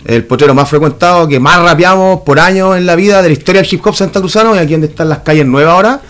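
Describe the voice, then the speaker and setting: A man's voice: fairly high pitch, fast talker, fairly bright tone. A Chilean man in his thirties, a hairdresser and urban artist, chatting as a guest in a radio studio interview, rambling and grateful. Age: 30s.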